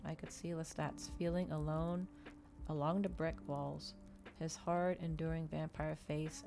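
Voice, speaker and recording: A woman's voice: deep voice, a little mellow. A woman reading a novel aloud in an ASMR audiobook style.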